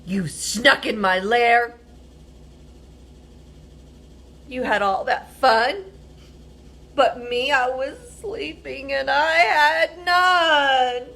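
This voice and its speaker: A woman's voice: sits high, slow pace, vocal fry, bright, forceful tone, exaggerated voice. A woman voicing a big storybook bear who complains that he missed all the fun. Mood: aggrieved. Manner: complaining, aggrieved. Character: big grumpy bear, sulking and hurt.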